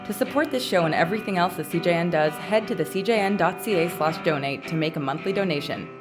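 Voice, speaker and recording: A woman's voice: fairly low pitch, fast talker, music in the background. A woman with a Canadian accent, chatting casually as a podcast co-host.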